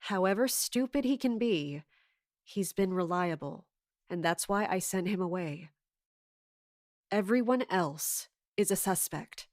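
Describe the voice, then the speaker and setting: Scheming woman commander, slightly low voice, dry sound, measured authority, audio drama. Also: expressive, a little mellow, dry recording. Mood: brooding, resolved. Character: scheming, commanding woman in charge of palace guards. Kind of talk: audio drama acting with first-person narration.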